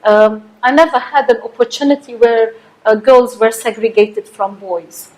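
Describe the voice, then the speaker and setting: A woman in her forties speaks on a seminar panel. Her voice sits a touch higher than most women's. She moves at a measured pace, stopping often on little fillers between phrases. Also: steady tone, a little mellow, poor audio. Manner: measured, with frequent filler pauses.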